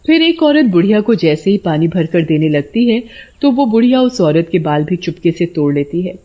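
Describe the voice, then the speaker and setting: A woman narrating a scripted bedtime story as storyteller. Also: fairly low pitch. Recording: dull lo-fi audio.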